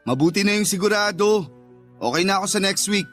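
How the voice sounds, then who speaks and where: Aggrieved, stubborn Filipino dad. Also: male voice, sits high, a little fast, a bit bright. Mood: settling, still stubborn. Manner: insistent, complaining, conversational. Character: aggrieved, stubborn divorced father standing his ground. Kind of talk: Filipino radio romance drama acting. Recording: music under the voice.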